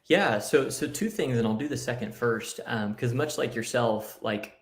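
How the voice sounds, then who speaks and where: A man's voice: mid-range voice, normal speed. A man whose first language was Spanish, now speaking with an American accent, talking earnestly as a guest author and often checking in with "right?". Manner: earnest, reflective, with fillers like "right?" and "you know". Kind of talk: casual interview talk.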